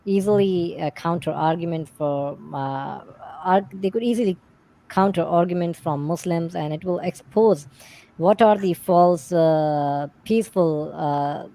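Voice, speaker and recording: A man whose first language is Urdu, speaking English haltingly as a stream guest. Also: on the high side, warm tone. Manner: halting, searching for words in English. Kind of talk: livestream discussion.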